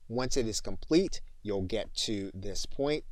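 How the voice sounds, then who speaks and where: A man with a fairly low voice narrates a software tutorial as an instructor. He explains each step in order in an instructional way. The sound is very dry, with no room echo. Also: lively intonation, unhurried.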